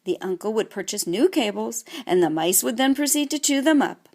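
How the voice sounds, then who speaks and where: A woman narrating a children's audiobook in an expressive, scripted storytelling manner. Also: fairly high pitch, fairly quick.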